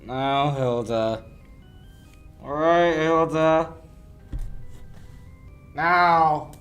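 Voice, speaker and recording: A man's voice: a little high, fairly even intonation, very slow, a bit bright. Young man as a tough wisecracking lawyer, music behind. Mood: dazed, urgent. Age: young adult. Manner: hard-boiled, wry, challenging. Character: tough wisecracking male lawyer, suspicious and dry. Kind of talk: 1940s radio play acting.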